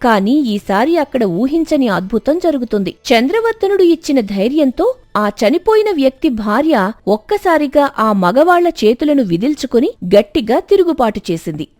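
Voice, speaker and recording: A woman narrating a scripted moral story dramatically, with expressive, emphatic delivery. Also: high-pitched, moderate pace, no echo, soft background music.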